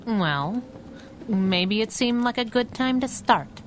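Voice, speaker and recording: A woman's voice: a little high, lively intonation, slow, slight background noise. A woman playing a sassy chauffeur sidekick, wry and teasing, in a pulp radio drama. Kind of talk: 1930s pulp radio acting.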